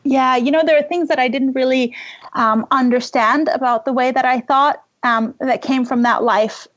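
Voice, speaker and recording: Husky, higher-voiced woman from Iran. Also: fairly even intonation, normal speed, fairly bright tone, highs cut off. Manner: hesitant, thinking aloud. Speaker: podcast guest, writer. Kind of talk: reflective interview conversation.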